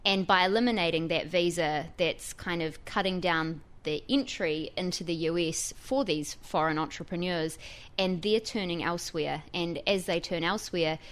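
A woman with a New Zealand accent, a technology reporter explaining a story in a radio interview. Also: lowish voice.

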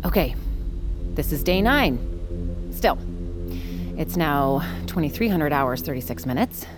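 Female engineer, low voice, methodical log style, music behind. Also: animated, unhurried. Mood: focused. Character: focused female engineer logging a test. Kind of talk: audio drama log narration.

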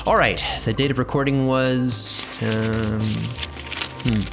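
A man's voice: mid-range voice, steady tone, slow pace. A man playing a chatty, absent-minded fantasy archivist in an audio drama, musing and humming to himself. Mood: musing, businesslike. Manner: musing, hesitant hums, casual. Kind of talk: in-character audio drama narration. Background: music.

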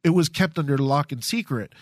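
A man co-hosting a casual podcast, explaining his ideas haltingly, with many restarts. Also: fairly high pitch, no room sound, studio quality. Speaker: podcast co-host.

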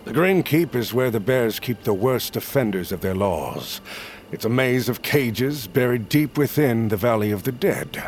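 A male actor playing a solemn, persuasive leader of smuggler outcasts in a fantasy audio drama, with measured, formal, archaic phrasing. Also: mid-range voice, lively intonation, a little mellow, a little hiss or hum, natural voice. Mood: grave. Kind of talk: audio fiction acting.

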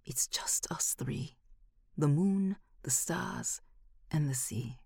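Husky woman reading a literary short story, measured but really lively pitch movement. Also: dry recording, in a whisper, studio quality. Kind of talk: literary first-person audiobook narration.

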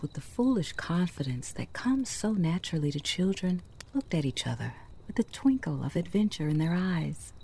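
A woman playing a mysterious, gentle forest storyteller, narrating a scripted fairytale in a hushed, breathy, intimate way with deliberate pauses. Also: low-pitched, a little hiss or hum, full character voice. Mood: wondrous, storytelling. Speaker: storyteller narrator of an audio drama podcast.

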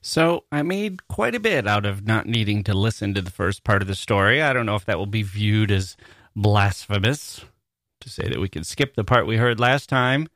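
A man's voice: lowish voice, animated, moderate pace, fairly bright tone, no room sound. Male literary podcast host, dry close sound. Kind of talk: conversational solo podcast talk, reading listener mail.

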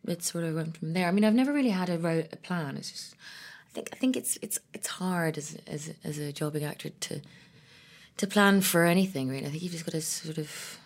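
Brief: woman, actress in interview, low gravelly voice, hesitant, restarts often. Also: brisk. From Ethiopia and Ireland. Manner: thoughtful, hesitant, with frequent restarts. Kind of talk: conversational interview.

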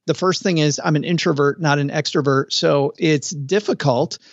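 A middle-aged man co-hosting a podcast, chatting casually and at length, with a relaxed, talkative manner and the odd pause. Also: a little high, no room sound. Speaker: podcast co-host. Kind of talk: casual conversational chat. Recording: dull, lo-fi dry sound.

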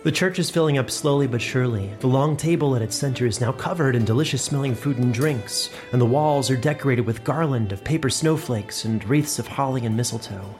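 A man's voice: mid-range voice, fairly quick, hardly any pauses, darkish tone, soft background music. A man giving scripted narration for a fantasy story.

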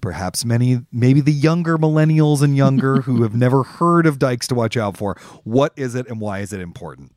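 An excitable, emphatic man hosting a podcast in a conversational style. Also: medium pitch, moderate pace, hardly any pauses, dry recording, studio quality. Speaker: podcast host.

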